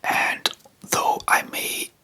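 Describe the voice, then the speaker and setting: A man's voice: very slow, sharp tone. Man whispering rambling ASMR chat. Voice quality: breathy unvoiced whisper. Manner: rambling, hesitant, spontaneous. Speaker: ASMR video host.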